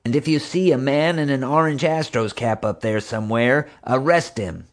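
A man's voice: medium pitch, moderate pace, pitched above the performer's own voice, close to an everyday voice. A man voicing a tough woman in charge of security, urgent and commanding, in an audiobook story.

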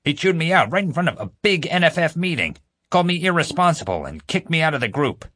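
A male narrator plays a gravelly-voiced crook from an audiobook. He is a cowardly bully, desperate and boastful by turns. The sound is dry and close. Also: expressive, moderate pace, fairly bright tone, no room sound. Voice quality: deep, gravelly. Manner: wailing, desperate pleading. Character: James, blubbering male criminal, cowardly, pleading, boastful.

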